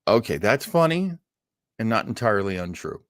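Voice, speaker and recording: A man's voice: lowish voice, big pitch swings, normal speed, a bit bright, dry recording, studio quality. A man chatting casually as the host of a livestream, rambling in a self-deprecating, easily amused way. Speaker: host of an old-time radio livestream.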